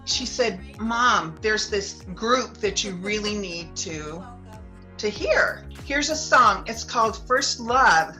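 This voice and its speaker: A woman's voice: medium pitch, animated, slow pace, pushed and bright, soft background music. A woman and mother telling her story earnestly as a fan. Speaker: mother and fan in a video clip.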